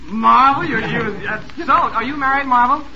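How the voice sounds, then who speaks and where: A man's voice: extremely high pitch, normal speed, muffled recording. A man hosting a 1940s radio music quiz, jovial and teasing as he reads out listeners' questions. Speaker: quiz show host. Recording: noisy old radio broadcast.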